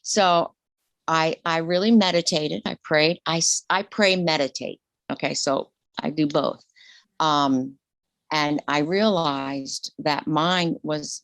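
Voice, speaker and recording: A woman in her sixties, a retired nurse, talking openly in an interview, animated and laughing, her voice leaping high when excited. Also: dry recording. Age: 60s. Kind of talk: casual interview conversation.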